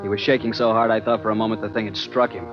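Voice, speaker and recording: An actor playing a hard-boiled American adventurer who narrates a vintage radio drama in a clipped, dramatic way. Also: male voice, lowish voice, level tone, rapid-fire, lightly acted. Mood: tense, awed. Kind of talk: 1940s radio drama acting, first-person narration. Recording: dull vintage radio recording with loud music.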